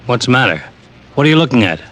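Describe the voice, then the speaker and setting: An actor playing a cautious, excitable police officer, the detective's flustered sidekick, in an old-time radio drama. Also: male voice, medium pitch, fast talker. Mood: nervous, eager. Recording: vintage radio recording with music.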